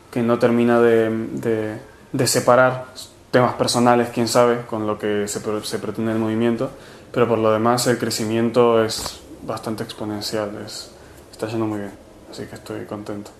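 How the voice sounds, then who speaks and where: Argentine man, interviewee; flat delivery, halting with fillers, echoey room. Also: mid-range voice, a little hiss or hum. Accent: Argentine Spanish. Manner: hesitant, many fillers and restarts. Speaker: movement organizer. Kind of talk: interview answers.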